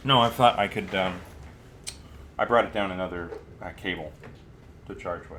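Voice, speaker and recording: Male podcast co-host, low register, casual talk, audible noise and room echo. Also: moderate pace, pushed and bright. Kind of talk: casual chat while setting up a stream. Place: echoey room, podcast recording on stream. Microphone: podcast mic on adjustable arm. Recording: roomy, clearly noisy room recording.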